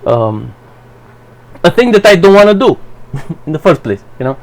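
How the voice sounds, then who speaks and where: A man whose first language is Romanian, giving a blunt, profane, halting commentary for his channel. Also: fairly high pitch, very animated. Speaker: vlogger. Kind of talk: rambling personal commentary.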